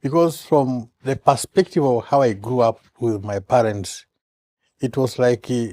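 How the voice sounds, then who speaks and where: A man's voice: mid-range voice, a little slow, dark tone, no room sound. A man from eastern Uganda, a guest on a talk show, speaking in a humorous, storytelling way. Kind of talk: casual interview.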